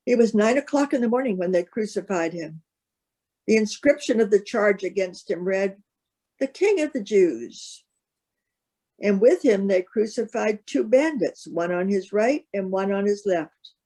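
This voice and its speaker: Female reader for scripted gospel narration, mid-range pitch. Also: expressive, a little slow, warm tone.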